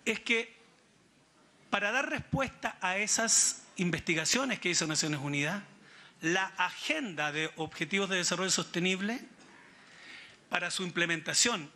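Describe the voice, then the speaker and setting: A man with a Chilean accent making reflective remarks from the audience at a conference, deliberate and pausing mid-sentence. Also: high-pitched, sharp tone. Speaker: audience member speaking at a political conference.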